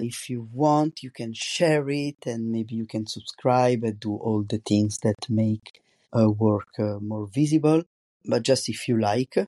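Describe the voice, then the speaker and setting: A middle-aged man with a strong Italian accent, speaking English as a second language, hosting a solo podcast and talking haltingly with many restarts. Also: mid-range voice, warm tone. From Italy. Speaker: podcast host, comics artist.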